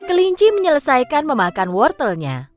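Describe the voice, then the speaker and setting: A woman narrating a children's cartoon story in an expressive, sing-song storytelling manner. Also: sits high, normal speed. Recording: faint music behind. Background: faint background music.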